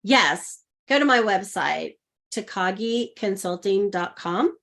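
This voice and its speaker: A woman's voice: medium pitch, animated, slow, vocal fry, a bit bright. A woman around sixty, a guest coach, telling a personal story in a podcast interview and acting out the voices of people she quotes. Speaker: guest, intuitive leadership coach.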